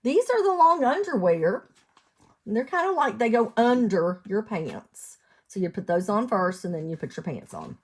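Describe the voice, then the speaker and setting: An American woman reading a storybook aloud to young children as a teacher would, in an expressive sing-song way, asking them questions. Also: mid-range voice, normal speed, slightly rough. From United States, lived in West Virginia.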